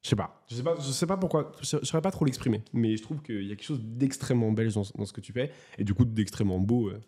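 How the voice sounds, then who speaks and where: A man's voice: mid-range voice, fast talker, dark tone. A man hosting a casual interview, talking with many hesitations and restarts. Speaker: host.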